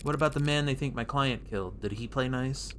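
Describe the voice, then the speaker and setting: A man's voice: mid-range voice, brisk, noisy background, close to an everyday voice. A man voicing a cool female defense lawyer in a noir game, questioning and sardonic with a dry wit. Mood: cool, probing. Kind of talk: reading noir game dialogue aloud.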